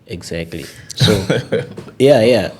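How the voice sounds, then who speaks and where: A man's voice: fairly low pitch, lively intonation, slow, slight background noise. A young man talking earnestly and with animation in a casual podcast conversation, often saying "you know".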